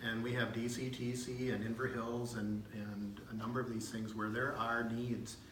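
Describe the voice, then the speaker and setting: A man's voice: mid-range voice, flat delivery, normal speed, fairly bright tone. Folksy legislator in his fifties. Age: 50s. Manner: folksy, anecdotal, chuckles. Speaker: state legislator. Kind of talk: town hall answer. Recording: echoey room, faint background noise.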